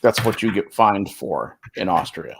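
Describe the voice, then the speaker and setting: A man's voice: sits low, expressive, unhurried, gravelly, a little hiss or hum. A man chatting casually as a talk show panelist, musing in a wry way. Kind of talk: casual chat.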